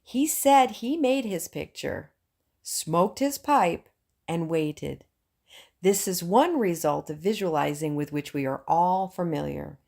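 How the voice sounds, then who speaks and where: Female audiobook narrator, slightly low voice, reading scripted prose. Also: expressive, slow pace, vocal fry, a little mellow. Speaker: audiobook reader.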